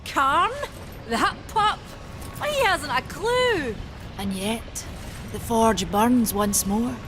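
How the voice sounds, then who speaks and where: A woman's voice: on the high side, expressive, extremely slow, music in the background. A woman playing a stern, proud forge Maker of an ancient race in a fantasy game, solemn and commanding, with old-fashioned wording. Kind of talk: dark fantasy game acting.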